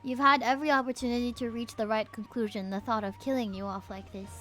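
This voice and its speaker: A woman's voice: fairly high pitch, fairly even intonation, fast, sharp tone, faint music behind. A woman voicing a smug, coldly menacing video game villain in a haughty manner.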